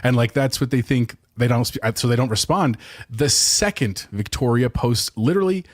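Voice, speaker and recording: A man's voice: mid-range voice, normal speed, no room sound, studio quality. A man co-hosting a casual podcast, telling a story in a wry, earnest way. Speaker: podcast co-host.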